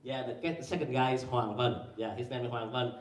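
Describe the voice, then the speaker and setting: A man presenting at a conference panel, talking haltingly with many fillers and restarts and quizzing the audience. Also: mid-range voice, level tone. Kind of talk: academic presentation with slides. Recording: echoey room, mic far away.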